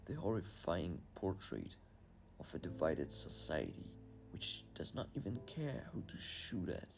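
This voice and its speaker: Gravelly man narrating a film review. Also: extremely low pitch. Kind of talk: scripted voice-over narration.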